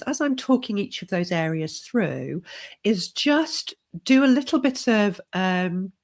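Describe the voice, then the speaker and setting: Middle-aged woman, leadership coach with a cold, low gravelly voice, steady and encouraging. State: has a cold. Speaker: leadership coach hosting a live stream. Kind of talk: solo live stream talk.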